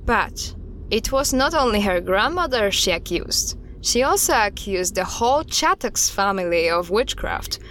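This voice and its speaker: A woman playing an earnest, guarded expert recounting dark history in an audio drama styled as a recorded interview, with a measured, serious delivery. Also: mid-range voice, very animated, slight background noise. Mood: grave, measured.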